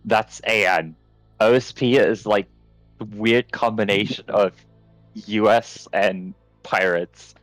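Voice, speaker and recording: A man's voice: lowish voice, slow, fairly bright tone, no room sound, soft background music, low-quality recording. A man casually talking through a team match over voice chat, hesitant and swearing now and then. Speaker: streamer reviewing matches.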